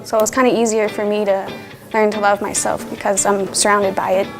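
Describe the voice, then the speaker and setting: A woman student speaks in a plain, reflective way during an interview. She uses fillers now and then. Her voice is mid-pitched. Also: level tone, brisk, over loud music. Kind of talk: documentary interview.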